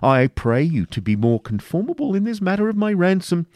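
A man plays a pompous medieval prior in a storybook tale, full of scorn and bluster. His voice rides high and swings widely, tripping into stammers when he is outraged. The sound is close and dry. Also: sing-song, brisk, a little mellow, no echo. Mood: aggrieved.

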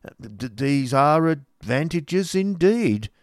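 A man voicing a polite, mildly amused gentleman passenger who speaks with dry irony. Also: a little high, big pitch swings, slow pace, dry recording, voice pushed up. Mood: politely ironic.

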